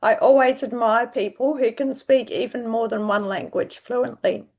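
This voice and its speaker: A woman's voice: fairly high pitch, normal speed, a little mellow. A woman hosting a podcast, chatting casually and asking questions in a curious, encouraging way. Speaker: podcast host. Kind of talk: casual interview chat.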